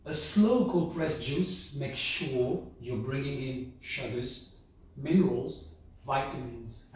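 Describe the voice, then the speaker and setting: A man with a raspy, sore-throated voice explains things to viewers in a halting way, often restating his phrases. His pitch is average for a man. He is recorded at a distance from the microphone in a strongly reverberant room. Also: a little mellow. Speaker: host of a health and juicing channel.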